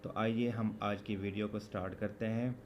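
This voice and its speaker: A man's voice: on the low side, fairly even intonation, normal speed. A man with a Pakistani accent teaching an exam lesson as a law teacher, explaining conversationally and rephrasing point by point. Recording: background noise throughout.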